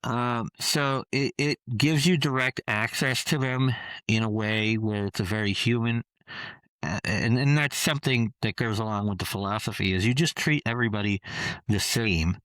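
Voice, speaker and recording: A man's voice: mid-range voice, normal speed, no room sound, poor audio. Man talking loosely in a dry room. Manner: relaxed, rambling, frequent fillers and restarts. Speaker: interview guest, costumed performer. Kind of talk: casual interview conversation.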